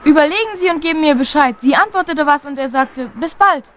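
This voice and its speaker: A woman's voice: high voice, fairly quick, fairly bright tone. A woman playing a cheeky, observant young boy, eager and matter-of-fact, in a German crime radio drama.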